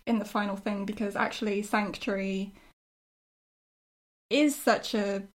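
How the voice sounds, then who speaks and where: A woman's voice: medium pitch, fairly even intonation, measured pace. A woman chatting casually and reflectively as a writer looking back on a show, in an agreeable, conversational way. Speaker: writer discussing their own show.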